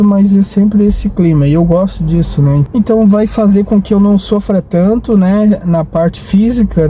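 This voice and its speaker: A man's voice: high-pitched, mellow. Guy vlogging, lots of restarts and "né?", noisy traffic in the background. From Brazil. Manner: rambling, hesitant, full of restarts and tag questions. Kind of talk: casual vlog talk.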